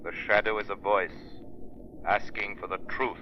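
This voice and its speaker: Guy doing sinister phantom avenger, low voice, mocking n commanding, old muffled 30s radio drama. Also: flat delivery, moderate pace, lightly acted. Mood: menacing, commanding. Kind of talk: 1930s radio thriller acting. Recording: muffled 1930s radio recording, faint noise.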